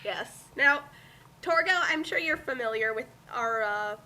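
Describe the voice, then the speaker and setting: A woman's voice: on the high side, lively intonation, unhurried, pushed and bright. A woman co-hosting a dramatic fanfic reading with casual chat, theatrical when she reads. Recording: music behind the voice. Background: music.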